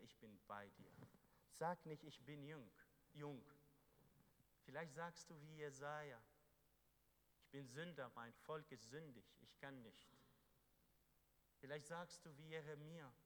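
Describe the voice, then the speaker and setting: A man preaching a sermon to a congregation, fervent, moving between loud exhortation and quiet, earnest passages. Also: medium pitch. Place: church hall. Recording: echoey hall with faint hum.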